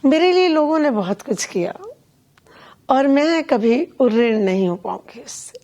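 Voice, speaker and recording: An older woman being interviewed as a poet and event organiser, speaking in a measured, earnest, deliberate way. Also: sits high, animated, warm tone. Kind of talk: reflective conversational interview.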